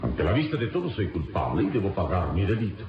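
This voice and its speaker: A very low-pitched, noble hero of a radio adventure serial. He declaims formally and solemnly, with wide swings of pitch. The recording is dull and echoey. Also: big pitch swings, fast talker, background noise. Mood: solemn, resolute. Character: noble heroic adult male adventurer, calm and principled. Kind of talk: radionovela acting. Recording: dull echoey radio drama with music behind.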